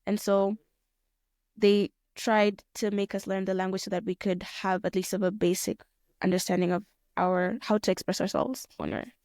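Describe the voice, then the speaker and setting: A young woman from Kenya talks through her answers with many small restarts and fillers. Her voice is smooth and clear, held in a fairly narrow pitch band. The sound is dry and close. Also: level tone, normal speed, darkish tone, no echo. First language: Swahili. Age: young adult. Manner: thoughtful, rephrases often, many fillers. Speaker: guest, pilot student. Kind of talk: casual interview answers.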